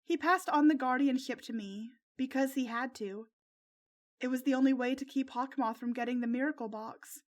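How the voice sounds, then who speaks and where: A woman voicing an anxious, tender-hearted teenage girl with a soft, earnest, emotional way of speaking in a narrated story. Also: sits high, moderate pace, lightly acted. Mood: sad.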